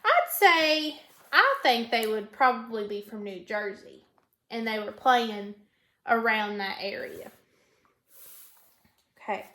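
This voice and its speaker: A woman with a high-pitched voice reads aloud as a teacher would. She is instructional, moving between storybook narration and prompting questions. The room around her rings with echo. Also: lively intonation, slow pace, long pauses, bright, forceful tone. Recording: echoey room.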